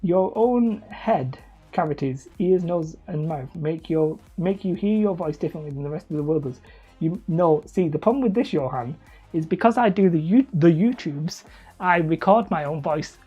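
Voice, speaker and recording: A man casually chatting on a livestream while he crafts hobby terrain, rambling and self-deprecating as he reads the chat aloud. He calls his own voice high and whiny. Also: expressive, moderate pace, warm tone, breathy edge, dull audio, soft background music. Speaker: hobby terrain-making streamer.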